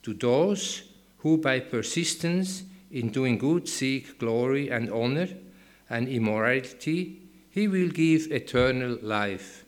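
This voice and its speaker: A man with a Swiss German accent reads scripture aloud at a very slow, deliberate pace. His delivery is measured and careful, with each phrase set apart. His voice sits at an ordinary pitch for a man. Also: lively intonation. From Switzerland. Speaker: Bible reader. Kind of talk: slow scripture reading in church.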